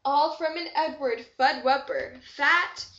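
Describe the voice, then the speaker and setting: A woman's voice: high voice, slow pace, a bit bright. A woman reading a rhyming children's story aloud with expression. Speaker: storybook reader. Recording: dull echoey amateur room recording.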